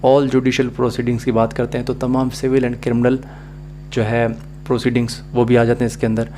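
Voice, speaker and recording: A man's voice: medium pitch, a little mellow, a little hiss or hum. A man with a Pakistani accent giving a methodical, explanatory video lecture as a law teacher, mixing in English phrases.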